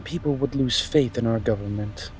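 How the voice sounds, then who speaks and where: A man playing a haughty, calculating young prince in a fantasy audio drama, speaking in a pompous, deliberate, menacing way. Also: medium pitch, faint music behind, close to an everyday voice. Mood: disdainful. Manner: pompous, deliberate, drawn-out delivery. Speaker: voice actor.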